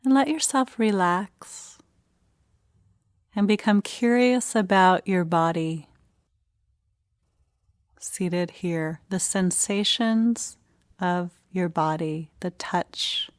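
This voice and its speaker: Woman doing guided meditation, really slow and gentle, dry close sound with no room echo. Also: lowish voice, steady tone. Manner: gentle, unhurried pauses between short phrases.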